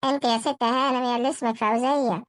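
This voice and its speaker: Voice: mid-range voice, steady tone, quick pace, fairly bright tone, dry recording, studio quality, natural voice. A performer voicing an angry, whiny, penny-pinching cartoon husband with an Egyptian accent, scolding and wailing in a comedy sketch.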